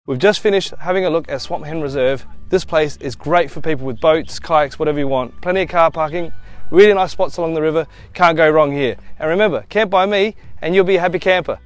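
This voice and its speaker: A middle-aged Australian man with a slightly high voice chats cheerfully about a riverside campsite, laughing at his fumbles between takes. He is recorded outdoors by a camera a few metres away, with faint music under some of his talk. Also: fairly quick. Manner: chatty, upbeat, laughs at his own slip-ups. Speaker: camping vlog host. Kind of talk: casual travel vlog with bloopers. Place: outdoors by a river in a bush reserve.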